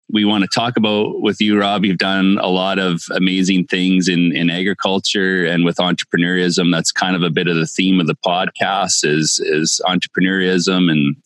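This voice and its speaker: A middle-aged man hosting a podcast in casual, halting speech that often repeats words. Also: sits low, steady tone, a bit bright, no echo. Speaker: podcast host, farmer.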